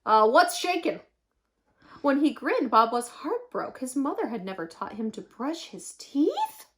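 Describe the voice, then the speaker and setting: A woman reading a children's picture book aloud expressively, with dramatic, emphatic pauses. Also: sits high, huge pitch swings, a bit bright. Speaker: storyteller.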